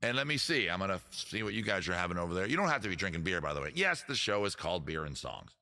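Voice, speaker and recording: A man with a raspy voice hosting a casual livestream show, chatting quickly and loosely with viewers in the chat. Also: sits low, sing-song, pushed and bright. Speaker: livestream music show host.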